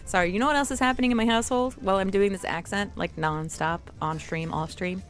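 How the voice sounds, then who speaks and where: A woman's voice: mid-range voice, quick pace, lightly acted. A woman streamer voicing an exuberant mafia don woman, rambling playfully in live role-play chat. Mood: amused, animated. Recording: music playing behind the voice. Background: music.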